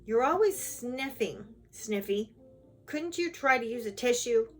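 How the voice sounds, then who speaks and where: A woman voicing an angry, rude male dwarf who grumbles and shouts in a storybook tale. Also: fairly high pitch, animated, measured pace. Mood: exasperated.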